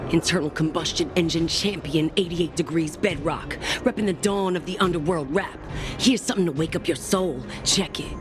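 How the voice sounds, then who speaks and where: A woman's voice: low-pitched, normal speed, natural voice. A woman playing a brash underground rapper in a video game, swaggering and rhythmic. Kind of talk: hype talk and rap in a video game. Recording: music behind the voice. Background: music.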